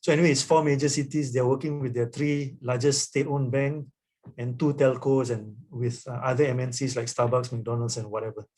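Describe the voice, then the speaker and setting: A man speaking as a guest author at a webinar, answering an audience question in an explanatory way. He keeps checking in with his listeners by tagging "right?" onto his points. His pitch sits in the middle range. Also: fairly even intonation, fairly quick, darkish tone. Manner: explanatory, often adds "right?".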